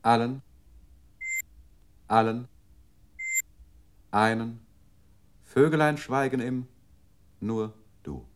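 Casting: a detached machine unit reciting verse in sixties radio drama; medium register, measured, deliberate. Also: male voice, mid-range voice, a little hiss or hum. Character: male computer memory unit, detached, reciting verse mechanically. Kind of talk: 1960s German experimental radio play.

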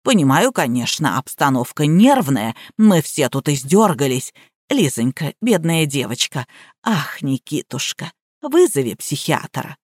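Woman acting a sly, low-voiced old lady. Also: sing-song, measured pace, no echo, studio quality, heavily acted. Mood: sugary, feigned pity. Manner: drawling, saccharine, theatrical. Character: sly haughty old woman, falsely sweet, plaintive schemer.

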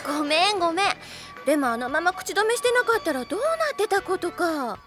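A woman voicing a lively, tomboyish older schoolgirl in an anime audio drama, brisk and frank in casual speech, easily flustered. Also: very high-pitched. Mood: apologetic. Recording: music behind the voice.

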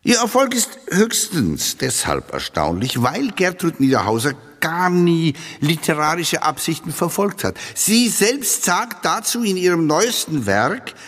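A man with a Swiss German accent playing a grandiloquent literary speechmaker who declaims a mock-solemn eulogy with drawn-out emphasis. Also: on the high side, sing-song, a bit bright, full character voice. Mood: solemn, pompous. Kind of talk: theatrical satirical eulogy. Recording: long reverberant hall.

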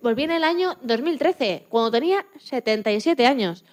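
A woman from playing a lively, joking retired Antarctic scientist in her eighties in a radio interview, often restarting her sentences. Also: high-pitched. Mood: animated, reflective. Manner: chatty, joking, halting with restarts. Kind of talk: scripted mock radio interview.

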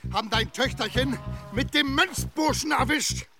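Shrill, spiteful peasant man. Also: wildly swooping pitch, normal speed, over music, exaggerated voice. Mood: gloating scorn. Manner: loud, scornful, foul-mouthed. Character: spiteful, hot-tempered village goat farmer. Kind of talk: audio drama acting.

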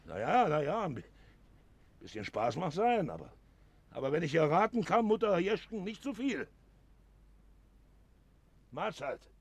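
An actor playing a loud, stern, threatening village man in a radio play, with a rough, gravelly voice. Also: on the high side, sing-song, unhurried, pauses often, no room sound, exaggerated voice. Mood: stern, grudging. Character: blustering, threatening village man warning about gossip. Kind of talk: German radio play acting.

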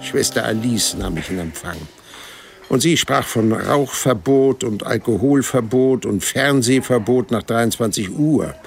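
An actor playing a wry, stubborn hundred-year-old man who narrates his life in a dry, deadpan way in an audio drama. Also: medium pitch, measured pace, vocal fry, faint music behind. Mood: weary, exasperated. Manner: dry, deadpan, matter-of-fact storytelling.

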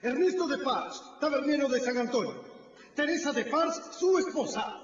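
Stern high-pitched judge. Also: male voice, animated, normal speed. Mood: stern, official. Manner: declamatory, imperious, lecturing. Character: stern, mocking revolutionary tribunal judge, man. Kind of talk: radio drama acting. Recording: dull echoey radio recording, noisy crowd.

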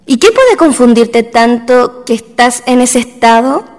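A woman playing an excitable, concerned young female friend in a radio drama, speaking in urgent exclamations. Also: fairly high pitch, measured pace, in a large hall. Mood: concerned, encouraging.

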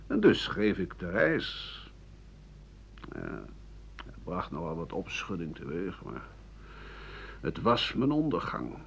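Gravelly, bitter old doctor-writer. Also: male voice, deep voice, huge pitch swings, takes it slowly, pauses often, exaggerated voice. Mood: cynical. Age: older. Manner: sardonic, rambling, scornful, with muttered asides. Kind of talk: radio play monologue in interview form. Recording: muffled, noisy 1980 radio drama recording.

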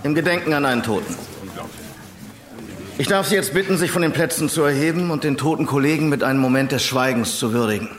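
Formal police chief actor in echoey hall. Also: male voice, a little high, slight background noise, natural voice. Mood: solemn, commanding. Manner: formal, measured, wryly ironic. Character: dry, authoritative senior police official addressing his men. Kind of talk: formal speech in radio drama. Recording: echoey hall with murmuring crowd.

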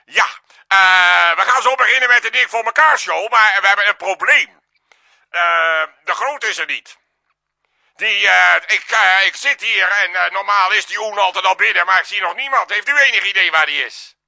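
High, creaky, flustered radio host. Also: male voice, normal speed, bright, forceful tone, full character voice. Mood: flustered, grumbling. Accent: Dutch. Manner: stammering, repetitive, exasperated. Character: flustered, irritable, bossy male radio show host. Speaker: comic radio show host. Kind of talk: comedy radio sketch, mock live broadcast.

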